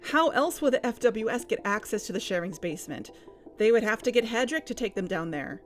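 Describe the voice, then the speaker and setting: An actress playing a measured, practical investigative podcast host in an audio drama. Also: female voice, a little high, animated, faint music behind, natural voice.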